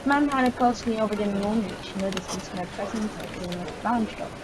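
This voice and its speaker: A woman's voice: medium pitch, normal speed, heavy background noise. A woman reading a visual novel's first-person story aloud, sometimes stumbling over words.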